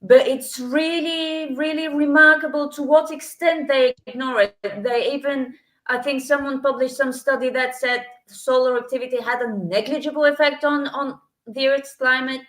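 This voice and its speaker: A woman's voice: high-pitched, fairly even intonation, normal speed, a bit bright. A woman co-hosting a podcast panel, thoughtful and speculative, raising questions to the others. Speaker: podcast co-host. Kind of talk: casual panel chat. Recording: echoey room.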